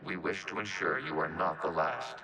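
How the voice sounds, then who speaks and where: An actor playing a calm, logical robot with a collective mind, speaking formally through synthetic processing in a video game cutscene. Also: male voice, sits low, a crowd in the background. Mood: calm, reflective. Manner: formal, measured, detached, speaks of itself as "we".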